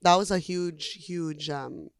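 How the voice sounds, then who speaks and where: A woman's voice: on the low side, takes it slowly, clean tone, no room sound, studio quality. A young adult woman, a singer, talking casually in an interview, chatty with lots of "like" fillers and easy laughter.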